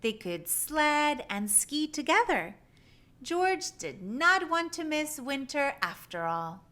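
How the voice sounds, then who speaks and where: A woman reading a children's storybook aloud in an expressive, animated storytelling style. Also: high-pitched, slow. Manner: animated, acts out animal sounds and exclamations.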